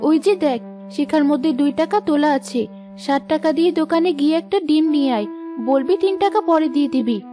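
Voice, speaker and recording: A woman's voice: high voice, fast talker, over music, natural voice. A woman playing a poor, loving village mother in a cartoon, plaintive and caring. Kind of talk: Bengali cartoon moral story dialogue.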